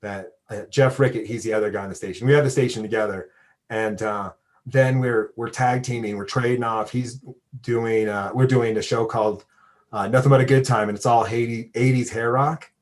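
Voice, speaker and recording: A man's voice: medium pitch, fairly even intonation, brisk. A man with an American accent hosting a casual chat interview, talking informally and often interrupting himself as he goes. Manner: informal, rambling, self-interrupting. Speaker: podcast host and radio DJ.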